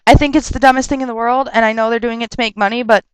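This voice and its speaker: A young woman rambles through viewer questions in a dry, close webcam recording. Her voice sits fairly high and stays smooth even though she is stuffed up from a cold. She chats loosely and slides into rants. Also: no room sound, poor audio. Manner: chatty, rambling, quick to laugh and rant. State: stuffed up with a sore throat. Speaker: vlogger answering viewer questions. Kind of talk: casual rambling webcam vlog.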